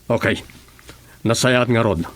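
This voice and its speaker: A man with an Ilocano accent playing a composed, measured official and husband in a radio drama. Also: mid-range voice. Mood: businesslike.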